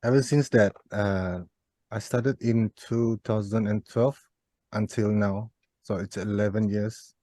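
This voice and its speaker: A man's voice: on the low side, steady tone, measured pace, warm tone, dry recording. A man in his thirties with a Malaysian accent, chatting casually as an interview guest. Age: 30s. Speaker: guitarist in a band, interview guest. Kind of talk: casual chat.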